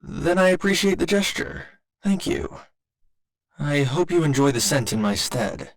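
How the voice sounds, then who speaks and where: Man voicing a gentle, formal android boyfriend. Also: on the high side, huge pitch swings, unhurried, full character voice. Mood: curious, polite. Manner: formal, precise, careful wording with occasional glitchy stutters. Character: gentle, polite android boyfriend, earnest and naively literal. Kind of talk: ASMR roleplay.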